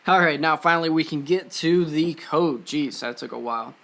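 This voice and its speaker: Higher-pitched man giving a casual coding tutorial. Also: normal speed, fairly bright tone. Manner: informal, offhand, explains step by step. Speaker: presenter of an electronics and coding tutorial. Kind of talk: casual screen-share code walkthrough.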